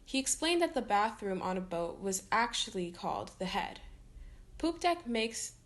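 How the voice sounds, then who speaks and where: A woman's voice: mid-range voice, very animated, normal speed. A woman reading a children's picture book aloud as a storytime reader.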